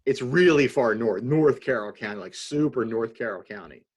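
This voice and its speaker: A man with a husky voice and lively, swinging pitch chats over a video call. He is wry and teasing and laughs often. His co-hosting has a loose, joking feel. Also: normal speed. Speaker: podcast co-host. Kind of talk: casual chat on a video call.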